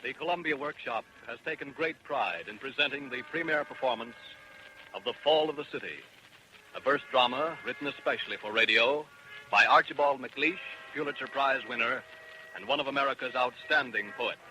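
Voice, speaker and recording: A man's voice: medium pitch, a little fast, poor audio. Formal old-time radio announcer man over music. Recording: vintage radio broadcast.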